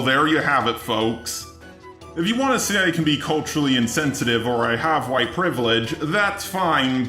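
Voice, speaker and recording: Sharp-voiced man, argumentative video commentary, sarcastic and indignant, echoey room, faint music. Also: mid-range voice. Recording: roomy echo with music underneath.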